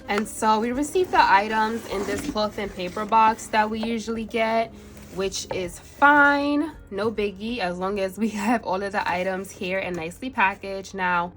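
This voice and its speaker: A woman with a congested, stuffy voice chatting through an unboxing on her planner vlog, laughing often between phrases. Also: lowish voice. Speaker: planner vlogger.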